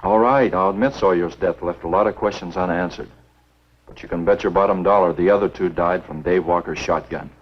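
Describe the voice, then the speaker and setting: An actor playing a stubborn, skeptical American game warden in a 1950s horror film, firm and matter-of-fact. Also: male voice, sits low, a little fast. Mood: reasoning, firm. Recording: dull, lo-fi 1950s film soundtrack.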